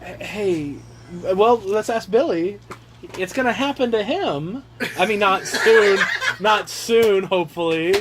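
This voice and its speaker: A man casually reading aloud and riffing on the text with sarcastic, profane, animated asides, on an amateur home recording. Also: high voice, slow pace, noisy background. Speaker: reader riffing on a handbook aloud to his brothers. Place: casual room with brothers chiming in.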